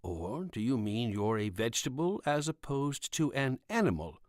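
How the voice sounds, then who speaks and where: A gentle military doctor in an audiobook, acted out by a male narrator. He questions his patient with measured, puzzled care. The pitch moves freely and the sound is very dry. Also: big pitch swings, dry recording, close to an everyday voice. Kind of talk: audiobook character dialogue.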